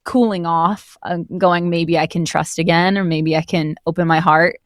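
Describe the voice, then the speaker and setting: A woman's voice: fairly low pitch, fairly even intonation, normal speed, no room sound, studio quality. A woman who narrates audio drama, chatting casually and enthusiastically as a guest, reflecting on her work. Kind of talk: casual conversational interview.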